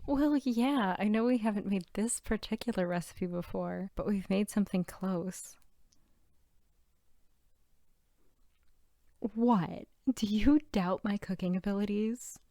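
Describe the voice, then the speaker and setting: A woman playing a sweet, bookish, playful archivist girlfriend in an intimate ASMR roleplay, soft-spoken and teasing. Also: medium pitch, moderate pace, few pauses, dry recording, studio quality, close to an everyday voice. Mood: playful, mock-offended. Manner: soft-spoken, often near whisper, giggly, teasing.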